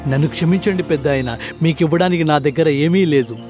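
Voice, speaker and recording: An anxious young husband talks earnestly in a high voice, as a man acts him out. It is a character in an animated storybook. Loud background music sits behind him. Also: quick pace, natural voice. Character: frightened poor young husband Ramesh.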